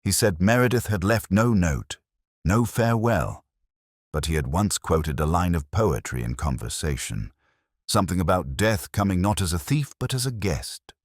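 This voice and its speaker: A man narrating a first-person detective story in a measured, solemn, literary manner. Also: low voice, expressive, dry recording, clean studio sound.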